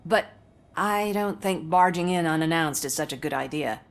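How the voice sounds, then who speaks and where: A woman's voice: low voice, normal speed, creaky. A woman playing a breezy, cynical commercial actress in a video game, talking in a wry conversational way. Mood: wry, casual. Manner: wry, casual.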